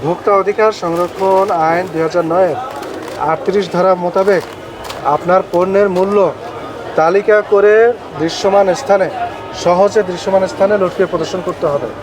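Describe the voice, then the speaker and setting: A man's voice: sits high, steady tone, normal speed. A Bangladeshi man speaking as a consumer rights official, formally questioning and explaining rules during an inspection. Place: recorded on location at market shops. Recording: busy outdoor market street noise.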